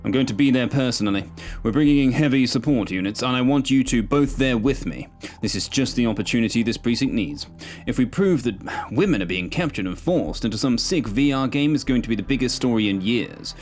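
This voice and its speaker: A man voicing a forceful, ambitious police captain with a commanding, brisk manner, in an audiobook. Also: mid-range voice, animated, faint music behind, close to an everyday voice.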